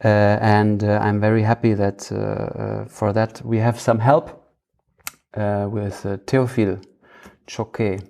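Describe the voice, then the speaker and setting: A man's voice: lowish voice, flat delivery, unhurried, creaky, warm tone. A man with a German accent hosting a podcast in a casual, conversational way, searching for his words as he goes. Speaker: podcast host.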